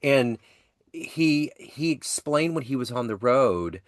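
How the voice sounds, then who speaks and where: A man's voice: mid-range voice, expressive, takes it slowly, no room sound, clean studio sound. A man hosting an interview podcast, sharing enthusiastic anecdotes and saying "you know" often. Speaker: podcast and jazz radio host. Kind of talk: casual interview chat.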